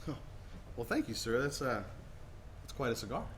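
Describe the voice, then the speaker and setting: A man's voice: medium pitch, sing-song, moderate pace, fairly bright tone, slight background noise. A man acting an earnest, hesitant young family man in a 1940s-style radio play, stammering in a folksy way. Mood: wary, polite.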